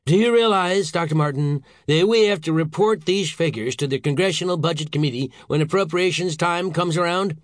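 A man's voice: sits high, lively intonation, fast, no room sound, pitched above the performer's own voice. A man voicing a furious, blustering older government official in an audiobook, howling and hectoring with theatrical indignation. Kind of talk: audiobook character voice.

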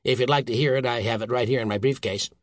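A mild-mannered male scientist, played by a man reading an audiobook, apologetic and deferential to his superior. He speaks in measured, contrite phrases. The sound is very dry. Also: medium pitch, steady tone, dry recording, natural voice. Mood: earnest, placating. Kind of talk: audiobook character voice.

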